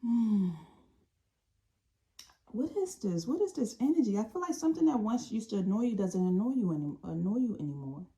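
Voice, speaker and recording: A woman giving an intuitive tarot reading, talking in a calm, meandering way and often restarting or repeating phrases. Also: mid-range voice, moderate pace, low-quality recording. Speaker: tarot reader.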